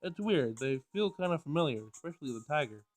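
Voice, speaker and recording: A man's voice: on the high side, lively intonation, quick pace, faint music behind. A man reading a visual novel aloud as he plays, sometimes stumbling over words and rereading lines. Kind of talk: Let's Play read-aloud of game text.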